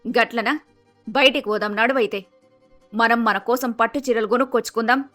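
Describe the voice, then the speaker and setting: Woman acting high-pitched cartoon mother-in-law, Telangana accent, blunt, bossy, earthy, faint music underneath. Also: fairly even intonation, a little fast, fairly bright tone, close to an everyday voice. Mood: brisk, matter-of-fact. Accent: Telangana Telugu. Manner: blunt, emphatic. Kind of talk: acted dialogue in animated moral story.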